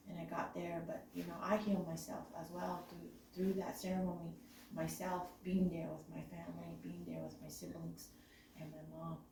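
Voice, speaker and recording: A woman from the Navajo Nation with a raspy voice from illness, talking reflectively and haltingly with many "you know"s. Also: fairly low pitch, level tone. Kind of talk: personal reflective talk. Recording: echoey room, distant mic, faint noise.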